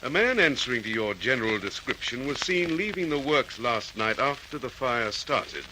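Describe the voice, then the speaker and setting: An actor playing a brisk, authoritative police detective in an old radio drama. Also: male voice, mid-range voice, lively intonation, pushed and bright, poor audio. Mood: pressing. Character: persistent, firm male police detective interrogating suspect. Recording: old radio recording with hiss.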